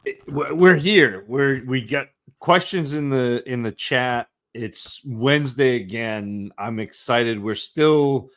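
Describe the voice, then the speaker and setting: A man's voice: medium pitch, slow, fairly bright tone. A man co-hosting a podcast in casual chat, friendly and often repeating words as he talks. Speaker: podcast co-host.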